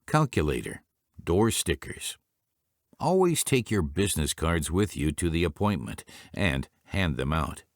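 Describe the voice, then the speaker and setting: Low-pitched man narrating business training. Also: no room sound, clean studio sound. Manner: formal, deliberate, instructional. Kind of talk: scripted instructional narration.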